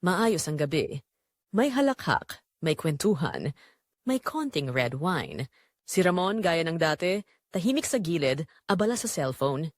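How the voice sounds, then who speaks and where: Romance audio drama lead: actress as yearning married mother, confessional, lowish pitch, dry close sound, dramatic pauses. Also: very animated, no echo. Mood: longing, tense. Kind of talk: first-person romance audio drama narration.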